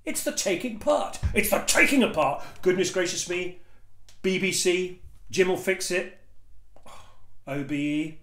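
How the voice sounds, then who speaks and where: A man in his fifties with an English accent performing spoken-word poetry in a theatrical, emphatic way with deliberate pauses, recorded in a living room. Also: sits high, lively intonation, fairly bright tone. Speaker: performance poet. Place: small living room, indoors. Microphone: camera or phone a short distance away. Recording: echoey room sound.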